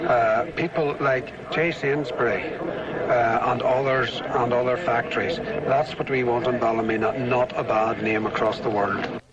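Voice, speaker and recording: Noisy, muffled clip of Northern Irish man. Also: medium pitch. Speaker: town mayor interviewed for news. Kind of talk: spontaneous interview statement. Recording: dull, very noisy outdoor news clip.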